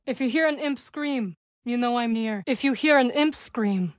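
An actress voicing a composed, dry-witted young witch warrior in a video game, speaking in measured, formal phrases. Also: on the high side, no room sound, lightly acted. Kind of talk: video game character dialogue.

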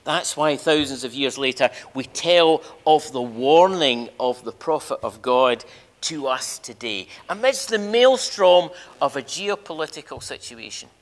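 An older man preaching as a minister, telling a story emphatically with dramatic pauses and repeated phrases in a large church. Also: fairly high pitch, expressive. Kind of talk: sermon, storytelling to a congregation. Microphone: lectern microphone. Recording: reverberant church hall.

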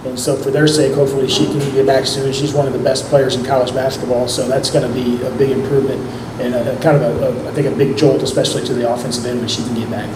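A middle-aged man, a head coach, answering post-game questions in a measured way at a press conference table mic. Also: mid-range voice, little pitch movement. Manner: measured, repeats words when starting sentences. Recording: echoey room with background noise.

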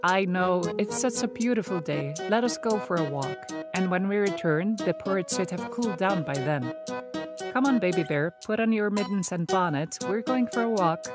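A woman's voice: mid-range voice, expressive, moderate pace, natural voice. A woman voicing a cheerful, motherly mama bear in a children's cartoon. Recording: loud music behind the voice.

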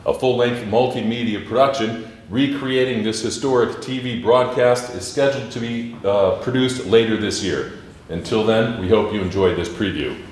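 A man's voice: fairly low pitch, normal speed, slight background noise. A man in his fifties formally reading a scripted introduction to a stage play, as its presenter. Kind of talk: scripted introduction read aloud. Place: theater stage, indoors. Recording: very echoey theater stage, distant mic.

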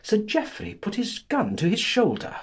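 A man narrating a literary audiobook in a theatrical, deliberate, scripted style, with a husky voice. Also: high-pitched, wildly swooping pitch, slight background noise.

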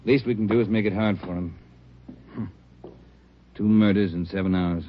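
An actor playing a brisk, commanding police chief, clipped and authoritative, in old radio drama style on a noisy vintage recording. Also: male voice, lowish voice, steady tone, dull audio, close to an everyday voice. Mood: urgent. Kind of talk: 1940s-50s radio acting.

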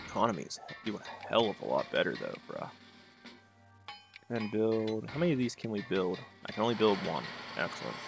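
Gravelly-voiced man rambling over a strategy game. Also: fairly low pitch, big pitch swings, fairly quick, over music. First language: English. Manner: rambling, sarcastic asides to himself. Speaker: gaming channel host. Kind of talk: casual strategy-game commentary.

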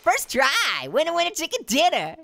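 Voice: high voice, wildly swooping pitch, normal speed, creaky, pushed and bright, no echo, soft background music, full character voice. A goofy talking orange. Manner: goofy, jokey, yelps and laughs. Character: cocky joking talking male orange. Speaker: game commentator. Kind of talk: cartoon character playing a game on camera.

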